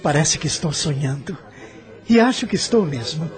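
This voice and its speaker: A man's voice: fairly high pitch, animated, normal speed, faint music behind, natural voice. An actor playing an earnest, awestruck young man devoted to his bride-to-be, in a radio drama. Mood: awed.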